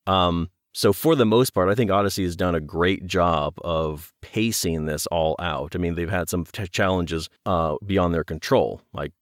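A man's voice: low-pitched, normal speed, few pauses, dry recording, studio quality. A man talking conversationally as an interview guest, thinking aloud and circling back to rephrase his points. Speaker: guest, audio drama producer.

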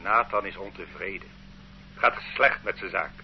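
An actor playing a stern state interrogator who bullies and threatens a suspect in a radio play, in a dull old recording with faint hum. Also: male voice, low voice, normal speed, natural voice. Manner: bullying, condescending. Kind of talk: radio drama acting.

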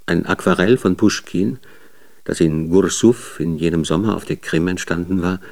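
A man's voice: low voice, normal speed, vocal fry, a little mellow. A man narrating a scripted German radio drama in a sober, documentary manner.

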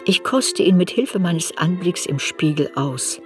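A woman's voice: lowish voice, expressive, measured pace, over music. An actress playing an adult woman memoirist who searches her girlhood unsparingly, in literary radio play narration. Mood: reflective.

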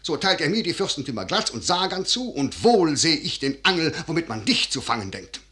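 A man's voice: on the high side, sing-song, fairly quick, bright, forceful tone. An actor playing a grave, calculating older father and general in a classical radio verse drama, with solemn, emphatic declamation. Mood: urgent, insistent.

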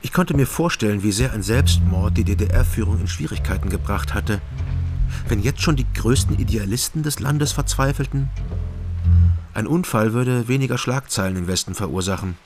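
An actor playing a terse, matter-of-fact private detective who narrates and questions people in a German radio crime drama. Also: male voice, fairly low pitch, normal speed, music in the background, lightly acted. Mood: sober, reflective. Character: male East Berlin private detective, ex-policeman, sober and probing.

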